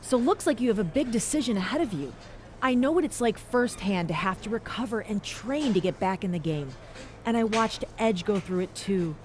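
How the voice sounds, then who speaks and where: A woman's voice: medium pitch, expressive, normal speed, darkish tone, slight background noise, close to an everyday voice. A woman voicing a supportive, experienced wrestling veteran in a video game cutscene. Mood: caring, earnest.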